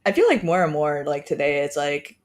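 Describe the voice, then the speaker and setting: A woman's voice: deep voice, very animated, brisk. A woman, a startup co-founder, explaining casually on a podcast with many fillers and trailing phrases.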